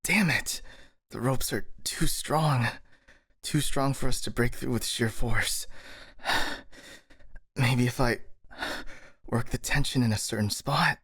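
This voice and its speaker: A man's voice: medium pitch, slow pace, long pauses, fairly bright tone, husky, dry recording, studio quality, heavily acted. A man playing a skeptical, worried, exasperated ninja in an ASMR roleplay, with a sighing, doubting manner. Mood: grudging, strained. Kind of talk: ASMR roleplay audio drama acting.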